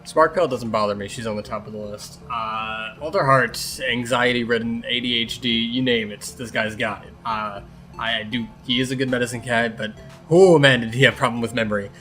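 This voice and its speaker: A man's voice: medium pitch, steady tone, fairly quick, sharp tone, soft background music, natural voice. A man voicing a snarky, exasperated blind medicine cat in an improvised fan Q&A, rambling sarcastically and swearing. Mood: dry, opinionated. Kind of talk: improvised character Q&A in casual chat.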